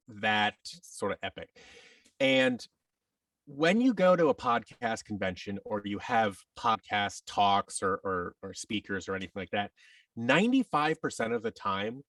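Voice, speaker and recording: A man's voice: on the low side, no echo, recorded from a distance. A man giving a rambling, enthusiastic explanation as a guest in a conversational interview. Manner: rambling, enthusiastic explaining, acts out imagined quotes. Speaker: podcast guest, audio drama producer.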